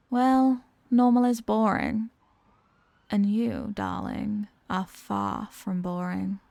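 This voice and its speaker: A woman playing a seductive, dominant villain in an intimate ASMR roleplay, teasing and sultry, often slipping toward breathy whispers. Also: mid-range voice, a little mellow, dry recording. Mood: sultry, playful. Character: seductive, dominant female villain toying with her hostage. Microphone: close microphone in a dry space.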